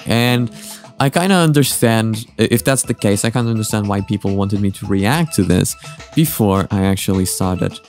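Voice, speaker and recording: A man with a fairly low voice hosts a casual reaction vlog. He talks in a hesitant, rambling way, circling back to correct himself, with music playing faintly behind him. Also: expressive, moderate pace, darkish tone. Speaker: host of a reaction channel. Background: background music.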